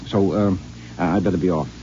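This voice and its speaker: An actor playing a distracted husband who answers curtly and mumbles excuses to get away, in 1950s radio drama style. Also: male voice, deep voice, brisk, mellow, close to an everyday voice. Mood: evasive. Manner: curt and offhand, mumbling excuses. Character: evasive, distracted husband eager to leave. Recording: dull old radio broadcast with hiss.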